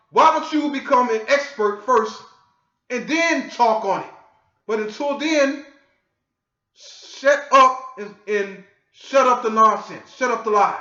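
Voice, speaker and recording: A man from the United States lecturing his viewers in a scolding, forceful way and often asking "okay?". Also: very high voice. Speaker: online commentator. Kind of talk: lecturing monologue. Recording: echoey, dull lo-fi audio.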